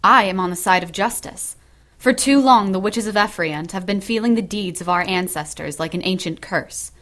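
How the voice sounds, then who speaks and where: A woman playing a dignified, stern high priestess of witches, formal and measured, in an audio drama. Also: a bit bright, natural voice. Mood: solemn conviction.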